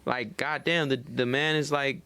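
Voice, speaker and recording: A young American man in animated, slangy, sweary casual banter as a podcast co-host. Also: mid-range voice, moderate pace, smooth, dry recording.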